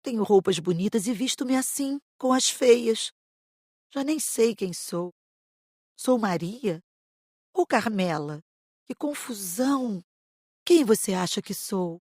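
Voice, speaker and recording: A woman's voice: medium pitch, lively intonation, takes it slowly, long pauses, a little mellow, no echo, studio quality. A woman playing a confused, vain and troubled spirit who is torn between identities, in a dramatized audiobook. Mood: bewildered.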